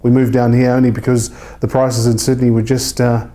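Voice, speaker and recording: Very mellow New man, chatting informally. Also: medium pitch, little pitch movement, a little fast. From New Zealand. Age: 30s. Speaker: real estate agent being interviewed. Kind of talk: casual interview chat.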